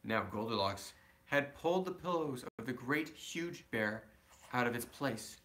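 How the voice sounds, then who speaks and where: A man's voice: mid-range voice, expressive, a little slow, bright, forceful tone, low-quality recording. A man reading a children's storybook aloud as a father, adding simple, casual asides to his child.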